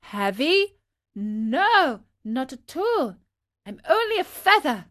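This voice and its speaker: Woman playing a little wooden puppet boy, high-pitched, swooping, impulsive, pleading, melodramatic storybook character. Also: big pitch swings, slow, a bit bright, exaggerated voice. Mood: excited, eager.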